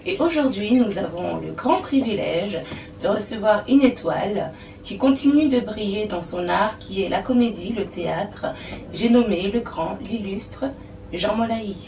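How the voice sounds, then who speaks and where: A woman's voice: medium pitch, expressive, measured pace, warm tone. Formal woman hosting, echoey room. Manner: formal, flowery introductions. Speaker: TV show host. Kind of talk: broadcast interview hosting. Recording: echoey room, distant mic, faint noise.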